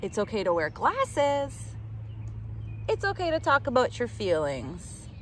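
A middle-aged woman reading a picture book aloud to young children in an animated, direct way, recorded outdoors in the woods. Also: a little high, unhurried. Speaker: children's storytime reader. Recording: outdoor ambient noise.

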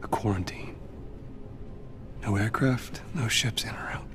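Deep, somber scientist played by a man. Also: measured pace, in a whisper, lightly acted. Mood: grim. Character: somber, brooding middle-aged male scientist. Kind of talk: cinematic video game acting.

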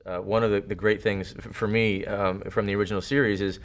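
A man's voice: lowish voice, level tone, fairly quick. A man in his thirties explaining his thoughts earnestly and at length in a panel interview. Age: 30s. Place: indoor studio set.

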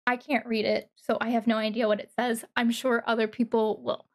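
A woman streamer giving casual reaction commentary, thinking aloud in a halting way and repeating words. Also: a little high, level tone. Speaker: streamer reacting to a game trailer.

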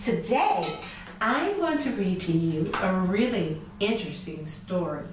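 A middle-aged woman tells a story to children with asides to them. Her delivery is unhurried and swings widely in pitch. She sounds set back from the microphone in a room. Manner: expressive, addressing children directly. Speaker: storyteller and children's show host. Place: indoor room with chalkboard backdrop. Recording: echoey room from a distance, faint noise.

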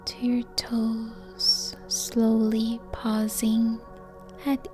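Very slow, breathy woman guiding meditation. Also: fairly high pitch, faint music behind. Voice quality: soft, breathy, near-whispered. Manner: calm, gentle, long pauses between short phrases. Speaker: yoga nidra meditation guide. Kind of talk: slow guided sleep meditation.

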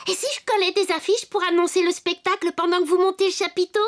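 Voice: extremely high pitch, little pitch movement, fast, a bit bright. A performer voicing a cheerful, helpful little wooden puppet boy in a French children's cartoon, encouraging and explanatory, with music behind. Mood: cheerful, explaining.

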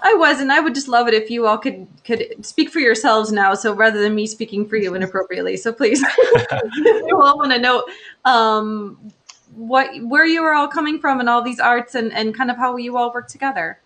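A woman's voice: a little high, moderate pace, fairly bright tone, a little hiss or hum. A woman hosting a live video call, upbeat and laughing between phrases as she hands over to her guests. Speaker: host. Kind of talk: casual live-stream hosting.

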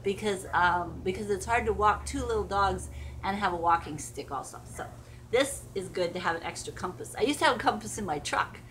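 A woman's voice: mid-range voice, expressive, fairly quick, a bit bright, a little hiss or hum, poor audio. An older woman chatting casually as she unpacks her shopping bags at home, rambling and cooing at her dogs. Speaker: vlogger. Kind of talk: casual haul vlog. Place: home porch and carpeted room.

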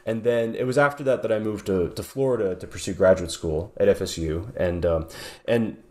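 Low-voiced man on a video call. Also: brisk, mellow. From southeastern New York. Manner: thoughtful, many restarts and fillers. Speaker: guest bassist. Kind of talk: casual interview. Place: home room with guitars on wall.

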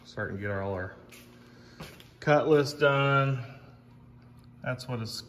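A man's voice: mid-range voice, slow pace. A man in his thirties, a fabrication shop owner, narrating a casual shop vlog in a blunt, wry, plain-spoken way with some casual swearing. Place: large metal fabrication shop indoors. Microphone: camera mic, often across the shop. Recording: echoey shop, clear background noise.

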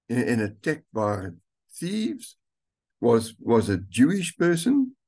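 An older man, a pastor, reading scripture aloud and preaching a sermon slowly and deliberately from a room at home. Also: medium pitch, darkish tone. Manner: slow, deliberate reading with stretched words. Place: home room with curtains, indoors.

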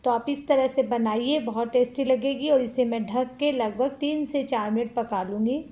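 A woman's voice: on the high side, moderate pace, mellow. A woman hosting a cooking channel, chatting step by step through a recipe in a home kitchen and calling her viewers friends.

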